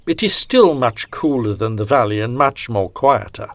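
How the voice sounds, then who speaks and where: A man voicing a genial elderly English gentleman who speaks with old-fashioned, courtly politeness. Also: mid-range voice, expressive, moderate pace, dry recording, close to an everyday voice.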